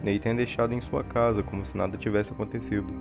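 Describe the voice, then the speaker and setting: A man's voice: fairly low pitch, steady tone, quick pace, vocal fry. Brazilian man narrating over music. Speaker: video essay narrator. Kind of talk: scripted narration and analysis. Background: background music.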